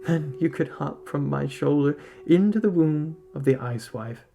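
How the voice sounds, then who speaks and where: A man's voice: on the high side, animated, unhurried, vocal fry, mellow, soft background music. A man voicing a wily, reluctant male arctic hunter in a story, who reasons and bargains step by step. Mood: persuasive. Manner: argues step by step, rhetorical questions.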